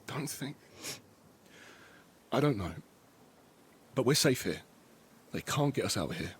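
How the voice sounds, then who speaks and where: A man's voice: medium pitch, big pitch swings, a little slow, a little hiss or hum. An actor playing a subdued, troubled but reassuring father in a post-apocalyptic audio drama. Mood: grave, guarded.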